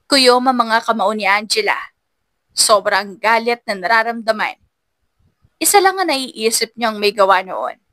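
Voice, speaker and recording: A woman's voice: fairly high pitch, expressive, measured pace, sharp tone, dry recording. A woman narrating a scripted audiobook story in an emotive, dramatic manner.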